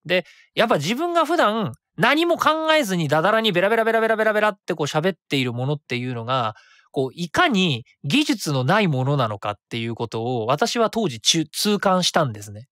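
Fast-talking man hosting a web radio show. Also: high-pitched, sing-song, a bit bright, no echo, studio quality. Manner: rapid, chatty, easy to follow. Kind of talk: casual solo radio talk.

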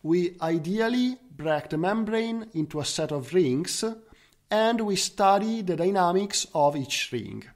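A man's voice: high-pitched, lively intonation, unhurried. A man presenting scientific research as a conference speaker, in the style of a technical slide talk. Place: recorded online slide talk.

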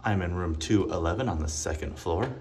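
A man's voice: low voice, brisk, over loud music. A man in his forties giving a casual, upbeat walk-through review of a hotel room, recorded on a handheld phone indoors. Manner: chatty, upbeat walk-through with frequent asides. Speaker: casino vlogger. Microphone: handheld phone camera.

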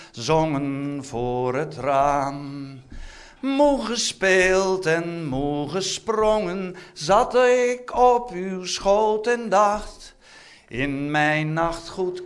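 A man performing poetry and quizzing in a theatrical, declamatory live manner. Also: high-pitched. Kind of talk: dramatic live poetry recitation and quiz.

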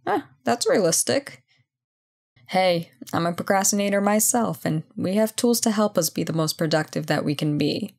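A woman playing a brisk, playful company boss in an audio roleplay job interview, chatty and full of wry asides. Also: lowish voice, expressive, vocal fry, darkish tone. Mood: wry, friendly. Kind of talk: ASMR roleplay, one-sided conversation.

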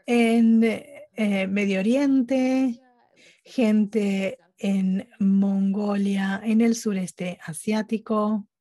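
A woman giving live interpretation at a webinar, speaking haltingly phrase by phrase. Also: mid-range voice, fairly even intonation, warm tone, no room sound. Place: remote online conference audio channel.

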